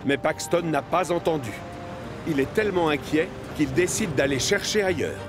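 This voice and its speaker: A man's voice: a little high, normal speed. A man giving scripted storybook narration as the narrator of a children's cartoon. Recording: music behind the voice.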